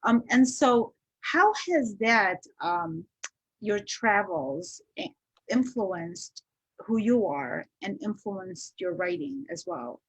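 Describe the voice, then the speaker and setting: A woman's voice: mid-range voice, animated, slow, husky, no echo, low-quality recording. A woman from Iraq hosting an interview, asking searching questions with some hesitation. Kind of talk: casual interview.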